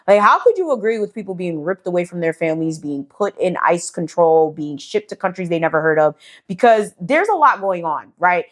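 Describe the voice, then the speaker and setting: A young woman from the United States talks straight to her viewers in a blunt, heated way. She swears freely and keeps firing off rhetorical questions. Her voice sits fairly low for a woman. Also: expressive, brisk. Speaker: vlogger. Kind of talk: casual commentary to camera.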